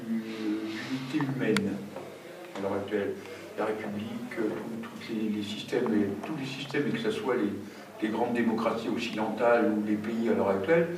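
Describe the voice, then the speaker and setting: Man, meeting participant, halting delivery; distant mic, room echo and noise. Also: on the low side. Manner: hesitant, restarts often. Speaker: audience member in discussion. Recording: roomy hall, distant mic, noisy.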